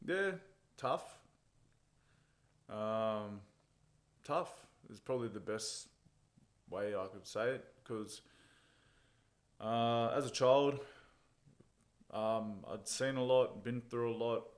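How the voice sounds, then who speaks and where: Laid-back fighter telling stories. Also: male voice, mid-range voice, slow pace, long pauses. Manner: laid-back storytelling, chuckles at his own jokes. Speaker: MMA fighter, interview guest. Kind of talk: casual interview chat.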